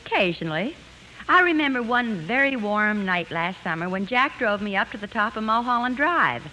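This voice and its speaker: A woman's voice: on the high side, sing-song, normal speed, bright, forceful tone, poor audio. An actress playing a wry, self-assured single woman in a 1950s radio comedy. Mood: breezy. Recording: hissy 1950s radio, studio audience.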